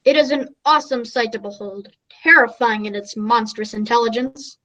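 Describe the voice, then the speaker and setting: Woman playing a high-voiced, flustered radio reporter. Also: pushed and bright, lo-fi sound. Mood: breathless awe. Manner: halting, polite, dramatic. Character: flustered, earnest, melodramatic radio news reporter. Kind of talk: comic radio play news bulletin.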